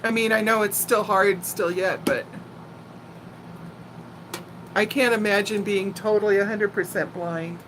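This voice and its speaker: A woman in her sixties chatting casually as a live stream host, telling personal stories in a playful, animated way. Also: medium pitch, normal speed, slight background noise, low-quality recording. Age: 60s. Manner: animated, playful storyteller who laughs easily and often. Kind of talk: casual chat and personal storytelling.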